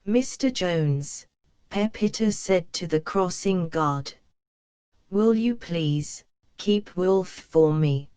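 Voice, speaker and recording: A woman reading a children's picture book aloud, slow and careful for young learners. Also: fairly low pitch, animated. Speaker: storybook reader.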